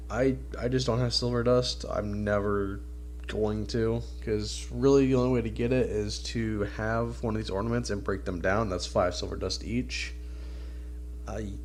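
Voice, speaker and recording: A man's voice: on the low side, a little mellow, slight background noise. A man with a stuffed-up, nasal voice from a cold, giving casual, informal video game commentary as a channel host.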